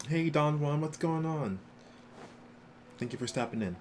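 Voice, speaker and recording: A man's voice: a little high, expressive, measured pace, a little mellow. A man casually chatting to viewers as he streams a video game. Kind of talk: casual livestream chat with viewers. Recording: clear noise behind the voice.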